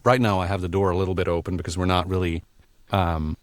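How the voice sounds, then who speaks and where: A man's voice: deep voice, fast talker, no echo. A middle-aged man from Belgium, a voiceover artist chatting casually and laughing often from his small home recording booth. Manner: relaxed, chatty, laughs often, restarts sentences. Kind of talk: casual interview chat.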